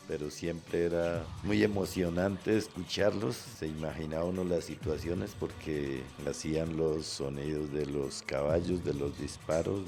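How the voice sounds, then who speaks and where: An older man with a very deep voice shares personal memories. His phrasing is measured and a little hesitant, and music plays clearly behind him. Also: dark tone. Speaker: listener recalling childhood radio shows. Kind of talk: personal reminiscence.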